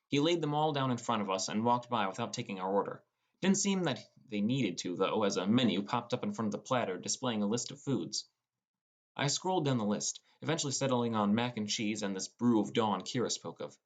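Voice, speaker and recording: A man narrating a first-person fantasy story as a scripted audiobook. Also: fairly low pitch.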